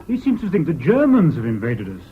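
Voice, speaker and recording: A man's voice: on the high side, huge pitch swings, a little fast. An actor playing a grave, reassuring English man bearing bad news in a radio drama. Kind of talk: British radio drama acting. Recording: dull, hissy old radio recording.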